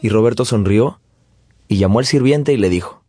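An actor playing a nostalgic man who narrates his family's past in a measured, lyrical way for a radio drama. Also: fairly low pitch, mellow, lightly acted. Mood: fond.

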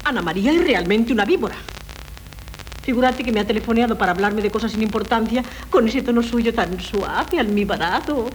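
An actress from playing a chatty, complaining wife in a 1970s radio drama, mocking and dramatic. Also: female voice, fairly high pitch, expressive, fairly quick, slight background noise, lightly acted, low-quality recording. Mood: indignant.

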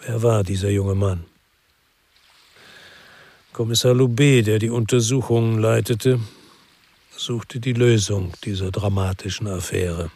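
A man's voice: on the low side, steady tone, measured pace, long pauses, darkish tone. An actor playing a weary, brooding ex-policeman who narrates a radio crime drama in the first person. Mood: brooding, sober.

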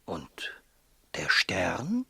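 An actor playing a solemn, learned traveller who reads the stars in a 1960s German radio play, declamatory and breathy. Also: male voice, low-pitched, wildly swooping pitch, drawn-out words, sharp tone, dry recording, exaggerated voice. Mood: insistent. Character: solemn, learned star-reading traveller, sure of himself.

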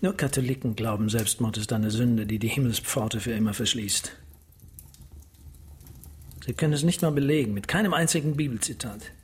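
A man's voice: mid-range voice, normal speed, slightly rough. An actor playing a brooding man in a radio drama monologue, musing bitterly to himself. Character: brooding man composing his last will alone.

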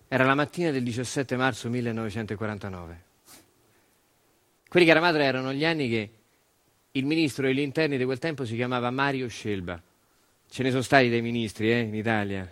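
Actor as quick-talking Roman worker telling his story. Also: medium pitch, a bit bright, lightly acted. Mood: wry, reflective. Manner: colloquial, repetitive refrains addressed to his mother. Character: retired Italian factory worker recounting his life to mother. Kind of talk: theatrical monologue storytelling.

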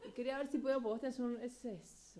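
A woman acts out a young Rioplatense party girl in a radio play, scheming and sweet-talking her neighbor. Her voice sits high, her talk slangy and coaxing, full of stalling and pleading. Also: fairly even intonation, rapid-fire, dark tone, natural voice. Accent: Rioplatense Spanish. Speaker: radio drama actor. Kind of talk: amateur radio drama acting.

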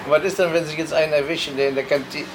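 A man's voice: a little high, fairly even intonation, very fast, background noise. An older man in his sixties or beyond, a shopkeeper chatting casually and bluntly. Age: 60s or older. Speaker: lottery shop keeper. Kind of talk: casual chat. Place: inside a small lottery shop.

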